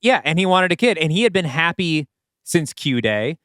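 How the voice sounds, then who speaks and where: A man's voice: on the high side, big pitch swings, moderate pace, fairly bright tone, no echo, studio quality. A man chatting casually as a podcast host, with a wry way of putting things. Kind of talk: casual chat.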